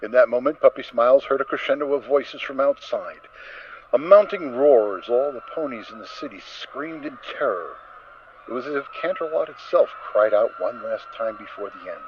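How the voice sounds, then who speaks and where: Lively, dramatic male story narrator. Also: mid-range voice, normal speed. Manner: expressive, theatrical emphasis. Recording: dull recording with faint noise.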